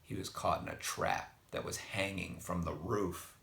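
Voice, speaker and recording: A man reading a scripted spooky story for children in an expressive narrating style, with a gravelly voice. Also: low voice, a little slow. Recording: roomy, echoing voice.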